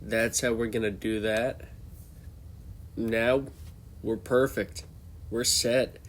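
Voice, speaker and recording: A man's voice: medium pitch, flat delivery, slow pace. A young man with a congested voice, hosting a casual, off-the-cuff tier-list commentary and thinking aloud as he goes. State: congested from a cold. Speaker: host.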